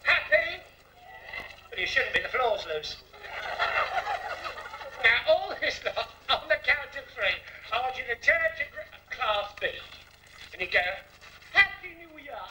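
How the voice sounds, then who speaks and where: A man's voice: very high-pitched, sing-song, unhurried, pauses often, noisy background, exaggerated voice. A man playing a brash, saucy older woman, a pantomime dame, with cheeky, declamatory patter that bosses and coaxes the audience. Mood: saucy, teasing. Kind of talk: theatrical music-hall pantomime patter to an audience. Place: theatre stage with painted scenery. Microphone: stand microphone, picked up from the auditorium. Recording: echoey theatre, distant old videotape sound.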